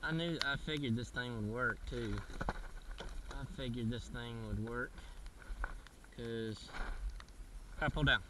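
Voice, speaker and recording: A man's voice: mid-range voice, takes it slowly, pauses often. A man narrating his own fishing in a casual, exclamatory way, talking to himself and repeating his phrases, recorded outdoors on an action camera. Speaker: kayak angler filming his catch. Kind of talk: casual excited self-narration while fishing. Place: outdoors on a kayak in a marsh. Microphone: action camera, a little off from the mouth. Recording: wind-noisy outdoor action camera, distant mic.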